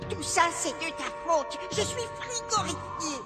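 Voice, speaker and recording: A woman's voice: extremely high pitch, lively intonation, measured pace, over music. A woman voicing a bookish, worrying young boy in a French cartoon dub, hesitant and protesting. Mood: cross, startled.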